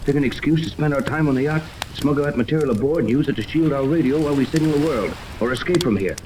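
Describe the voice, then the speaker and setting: Fast-talking, commanding adventurer captain played by a man. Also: a little high, natural voice. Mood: urgent, hushed scheming. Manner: urgent, commanding. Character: decisive, confident adventurer sea captain, adult man. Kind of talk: 1930s radio serial acting. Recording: noisy vintage 1930s radio recording.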